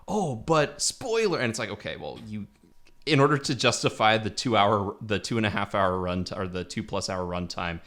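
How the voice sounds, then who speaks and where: A man's voice: on the low side, lively intonation, normal speed, a bit bright. A man co-hosting a casual movie review podcast, rambling and restarting his sentences, laughing often. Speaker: podcast co-host.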